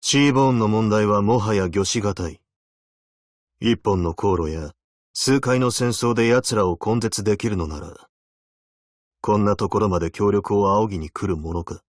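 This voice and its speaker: A man's voice: on the low side, normal speed, dry recording, clean studio sound, natural voice. A man voicing a stern, weary veteran abyssal hunter in a video game, speaking in a terse, solemn, commanding way. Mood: grave. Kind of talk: Japanese video game character voice lines.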